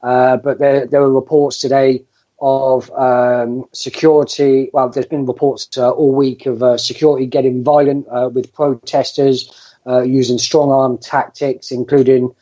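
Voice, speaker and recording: A man's voice: medium pitch, flat delivery, unhurried, warm tone, dull audio. A man on a political talk show, speaking hesitantly with many filler sounds between phrases. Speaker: podcast co-host. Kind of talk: casual political discussion.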